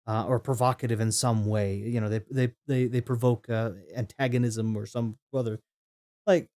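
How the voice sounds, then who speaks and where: A man's voice: mid-range voice, fairly even intonation, moderate pace, darkish tone. A man streaming a game, talking casually and rambling through opinions, with frequent restarts and chat comments read aloud.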